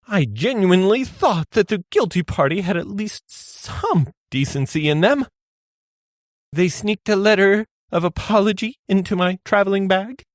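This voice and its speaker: A woman's voice: mid-range voice, dry recording, studio quality. A woman playing a fussy, melodramatic male gourmet who presides as judge in an amateur radio play. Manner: melodramatic, indignant.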